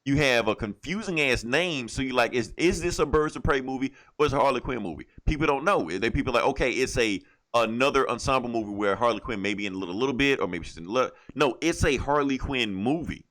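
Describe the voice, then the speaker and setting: A man with very lively, swinging intonation hosts a casual podcast. He talks in an opinionated, emphatic way, repeating himself to drive points home and swearing freely. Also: mid-range voice, quick pace, hardly any pauses. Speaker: podcast host.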